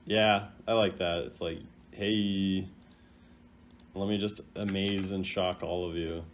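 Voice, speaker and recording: A man's voice: low-pitched, steady tone, slow pace, a little hiss or hum. A man giving casual, off-the-cuff commentary as a video host, rambling and playfully opinionated. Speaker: video host rating game voice lines.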